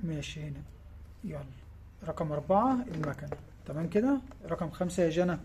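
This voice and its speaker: An Egyptian man talks at a brisk clip as he shows off giveaway prizes in his tackle shop. He keeps checking in with "tamam?" and nudges the children helping him, recorded on a handheld phone with faint shop noise around him. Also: a little high, lively intonation. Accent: Egyptian Arabic. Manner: quick, repeats "tamam?" to check in, coaxing children along. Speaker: fishing tackle shop owner. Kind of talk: casual shop video presenting giveaway prizes. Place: indoor fishing tackle shop.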